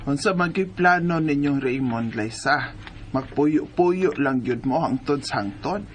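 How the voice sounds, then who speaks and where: Actress as blunt nagging Bisaya mother, low-voiced. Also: expressive, measured pace, music in the background. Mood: probing. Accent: Philippine, Bisaya. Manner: scolding, questioning. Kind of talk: radio drama acting. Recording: background noise and ambience.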